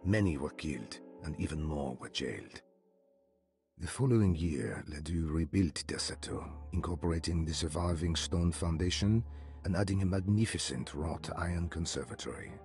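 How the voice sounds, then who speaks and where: Grave game narrator, measured reading, deep voice, faint music behind. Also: male voice, darkish tone. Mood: solemn. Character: grave male narrator reading an old archive.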